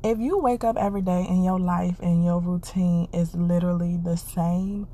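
A woman's voice: on the low side, fairly even intonation, normal speed, mellow. A woman hosting a podcast, giving an earnest, encouraging casual monologue and repeating her phrases, congested and stuffy. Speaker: podcast host.

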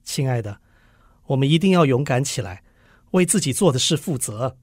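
An actor playing a patient, dutiful husband in a literary radio drama, speaking in a soothing, earnest, sighing way. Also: male voice, medium pitch, lively intonation, normal speed, darkish tone, dry recording, studio quality, close to an everyday voice. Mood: resigned, grave. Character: patient, dutiful husband calming his panicking wife.

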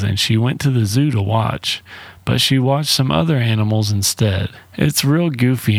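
A man with a lowish voice and a heavy gravelly fry, recapping a film casually. He is irreverent and slangy, swearing freely with a wry edge. The recording is dry and close. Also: no room sound. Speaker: film reviewer and narrator. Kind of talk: casual, profane movie recap narration.

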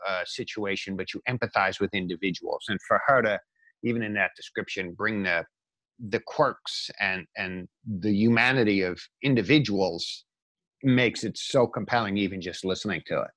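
A man with a slightly low voice, recorded dry with little room sound. He speaks as a podcast co-host in a casual, conversational style. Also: moderate pace, fairly bright tone, no echo, poor audio.

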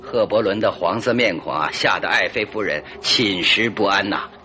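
A man's voice: fairly low pitch, moderate pace, sharp tone, faint music behind. An actor playing a confident, self-satisfied detective in a radio drama, theatrical and emphatic as he expounds his theory. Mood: smug, expounding.

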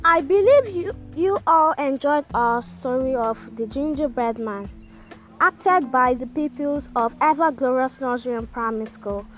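High-voiced pupil reading aloud. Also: unhurried, fairly bright tone. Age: child. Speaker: school pupil reader. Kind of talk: read-aloud storytelling. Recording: faint music behind the voice.

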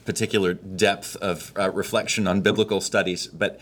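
A man's voice: sits low, steady tone, moderate pace, a bit bright. A man hosting an interview, asking long, searching questions with frequent restarts. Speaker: podcast host. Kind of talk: conversational podcast interview.

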